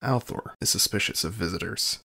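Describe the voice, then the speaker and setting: A man's voice: lowish voice, fairly quick, vocal fry, pushed and bright, slightly rough. A man announcing scenes as a scripted narrator in an audio drama.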